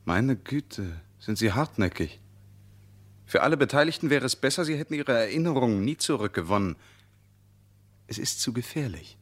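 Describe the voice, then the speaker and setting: An actor playing a quietly menacing, condescending villain in a German radio crime drama, talking in a measured, patronising way. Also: male voice, mid-range voice, very animated. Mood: veiled threat.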